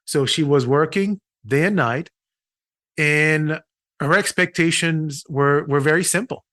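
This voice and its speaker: A man's voice: on the high side, a little slow, pushed and bright, no room sound, clean studio sound. A middle-aged man from Haiti, a physician, telling his story in an earnest way with restarts in a podcast interview. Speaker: physician and patient experience consultant.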